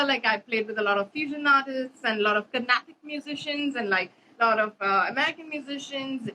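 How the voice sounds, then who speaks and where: A young woman from India chats in a bright, forceful voice that sits a little high. She rambles loosely and leans on fillers and "you know" as she talks. A faint hiss of noise runs under her voice. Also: rough recording. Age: 20s. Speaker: bassist guest. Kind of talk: casual interview chat.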